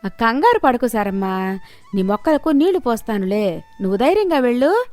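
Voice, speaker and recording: Woman acting a high, emphatic village neighbour. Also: very animated, fairly quick, darkish tone. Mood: reassuring.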